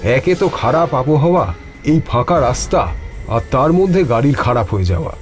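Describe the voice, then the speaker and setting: A man voicing an anxious, stranded doctor in an animated horror story, polite but urgent and insistent. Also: medium pitch, normal speed, lightly acted. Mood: worried, frustrated. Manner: urgent, questioning, exclaiming. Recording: music behind the voice.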